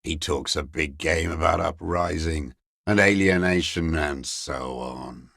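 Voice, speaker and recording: Game role: aged revolutionary holdout, deep-voiced, very slow delivery, scornful, weary and unrepentant. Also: male voice, vocal fry, fairly bright tone, dry recording, studio quality, exaggerated voice. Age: older. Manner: contemptuous, ideological, slow and weary. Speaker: video game character actor. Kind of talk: dramatic game voice acting, bitter monologue.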